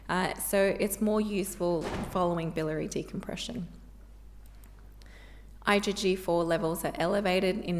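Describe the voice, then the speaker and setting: A woman specialist giving a medical conference lecture in a measured, informative way while losing her voice. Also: lowish voice. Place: conference hall. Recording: long hall reverb.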